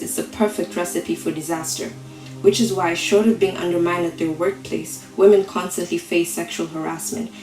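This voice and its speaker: Echoey, low-pitched woman reciting slam poetry. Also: a little mellow. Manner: deliberate, rhetorical delivery with dramatic pauses. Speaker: slam poet. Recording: roomy echo, faint music behind.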